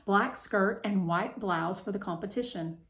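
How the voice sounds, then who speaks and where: A woman's voice: medium pitch, a little slow, fairly bright tone, echoey room. A woman voicing a supportive, organized storybook mother with a kindly, practical manner.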